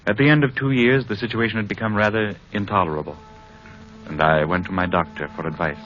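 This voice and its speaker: Casting: male actor as a troubled, sincere married executive, deep measured voice, vintage radio drama, dull muffled sound. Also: fairly even intonation, over music, close to an everyday voice. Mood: earnest, reflective. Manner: measured, formal, sincere delivery. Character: earnest, troubled married advertising executive testifying about his marriage. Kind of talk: old time radio drama acting. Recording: muffled old radio recording.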